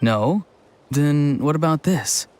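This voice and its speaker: A man playing a mild craftsman in a video game, speaking in a gentle, musing manner. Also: a little high, animated, slow, a little hiss or hum. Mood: pondering.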